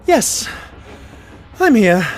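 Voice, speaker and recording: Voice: medium pitch, sing-song, drawn-out words. A performer playing an exasperated but caring male pony medic, a detective's sidekick, in a cartoon fan drama. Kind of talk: fan audio drama acting.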